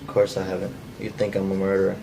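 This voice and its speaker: A man's voice: sits low, completely flat delivery, fast, mellow, rough recording. A non-actor man cold-reading the part of an edgy, blunt, folksy killer in a script table read. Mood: defensive. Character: edgy, cold-blooded man confessing to killing. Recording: faint background noise.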